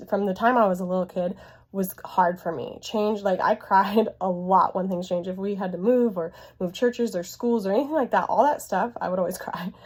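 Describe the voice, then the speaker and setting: A woman, hoarse from illness, talking earnestly and encouragingly to her viewers from her bedroom office. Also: medium pitch, darkish tone. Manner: earnest, encouraging, laughs often. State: hoarse, voice coming and going from illness. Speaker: vlogger sharing her life as a widowed mother. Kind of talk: heartfelt casual talk to camera. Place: home bedroom used as an office.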